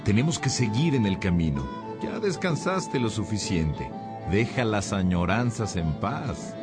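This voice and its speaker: A man's voice: on the low side, very animated, measured pace, loud music behind the voice. A man acting in a radio drama as an encouraging guide urging a pilgrim onward.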